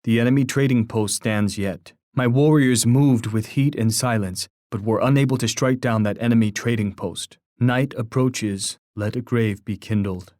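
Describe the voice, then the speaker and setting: An actor voicing a grave, dignified war leader in a video game, speaking in a measured, oratorical and formally poetic way. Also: male voice, medium pitch, darkish tone, no room sound, studio quality, lightly acted. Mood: somber, grave. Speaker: game character voice. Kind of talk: scripted video game voice lines.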